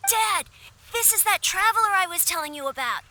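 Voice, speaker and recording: A young child in a video game. The voice is very high and bright, eager and friendly, with faint noise behind it. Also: female voice, animated, brisk, exaggerated voice. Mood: proud, excited. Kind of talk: video game cutscene acting.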